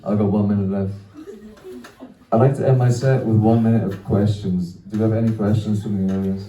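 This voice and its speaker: A man's voice: fairly low pitch, fairly even intonation, a little fast, dark tone, recorded from a distance. A young man doing a rambling, self-deprecating stand-up set, swearing and trailing off into laughs. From Wales. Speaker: stand-up comedian. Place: small comedy venue. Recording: echoey room, mic picked up from afar.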